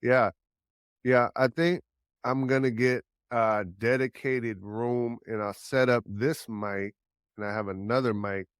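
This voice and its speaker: A man's voice: mid-range voice, fairly even intonation, unhurried, smooth, dry recording, clean studio sound. A man in a casual chat who agrees readily and thinks aloud about improving his podcast. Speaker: podcast host being audited. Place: Saigon.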